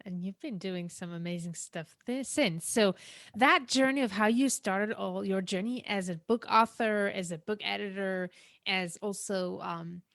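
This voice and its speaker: A woman's voice: medium pitch, a little slow, a bit bright, no echo, studio quality. A woman hosting a podcast interview as a business coach, speaking in an enthusiastic, effusive way.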